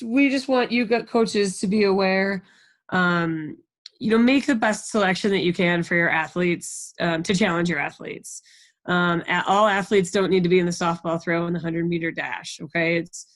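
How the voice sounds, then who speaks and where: A woman's voice: lowish voice, no room sound. American woman briefing coaches, dry close sound. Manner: encouraging, checks in often with "okay?". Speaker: sports program coordinator leading a conference call. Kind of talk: informal instructional briefing to coaches. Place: online conference call.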